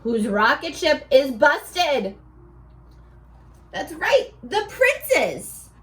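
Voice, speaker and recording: A high-voiced woman reads a storybook to young children as their teacher. Her delivery is unhurried and very animated, with sing-song phrasing and questions that invite the listeners to answer.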